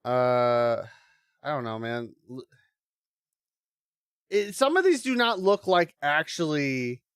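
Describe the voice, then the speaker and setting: A man's voice: on the high side, big pitch swings, slow, fairly bright tone, smooth, dry recording, clean studio sound. A man hosting a live watch-along, giving casual reaction commentary with swearing and muttered asides.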